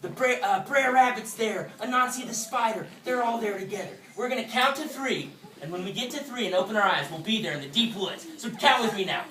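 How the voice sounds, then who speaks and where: A woman hosting a live, interactive story for children in an animated, folksy, exclamatory way. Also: on the high side, brisk, slight background noise. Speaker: puppet show host and storyteller. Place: indoor room with an audience. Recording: echoey room, distant mic, music.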